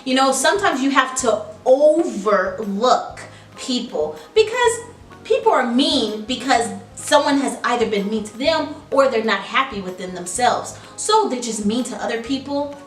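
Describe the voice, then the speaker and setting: Animated, slow-paced woman telling children's stories. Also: on the high side, soft background music. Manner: animated, emphatic. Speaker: children's storytime host. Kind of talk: expressive read-aloud storytelling and commentary for children.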